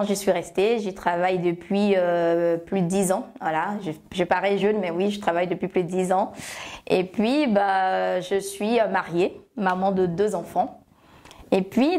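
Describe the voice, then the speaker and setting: A woman in her thirties from Côte d'Ivoire with an Ivorian French accent, chatting as an interview guest, full of fillers and self-corrections, on a lapel mic in a bright studio room. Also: on the low side. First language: Agni. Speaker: guest, love shop founder. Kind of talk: relaxed interview answers.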